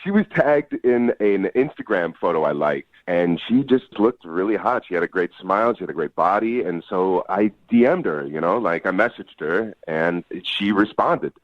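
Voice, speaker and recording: A man's voice: sits low, animated, normal speed, few pauses, dry recording. A man calling in to a radio show, chatting casually in a slangy, self-deprecating and jokey way. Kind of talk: casual chat. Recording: dull phone-call audio.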